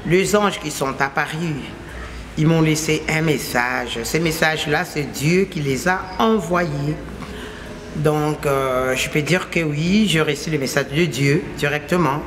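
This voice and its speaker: A woman with a Congolese French accent, a native Lingala speaker, delivers a personal religious testimony. She talks emphatically and repeats her points in lists. A steady hiss of noise runs under the voice. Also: low-pitched, measured pace. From Kinshasa, Congo. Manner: repetitive, emphatic, phrases restated in lists. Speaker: testifying believer.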